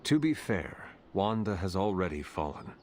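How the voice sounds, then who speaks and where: An actor playing a calm, resolute demon hunter in a video game, measured, earnest and slightly formal. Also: male voice, low voice, slight background noise. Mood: measured, reassuring. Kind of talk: dramatic video game acting.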